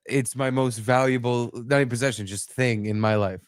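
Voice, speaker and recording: A man's voice: medium pitch, steady tone, moderate pace, no echo, studio quality. A man hosting a podcast, chatting casually and comedically, telling profane stories with acted-out exclamations. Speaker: podcast host.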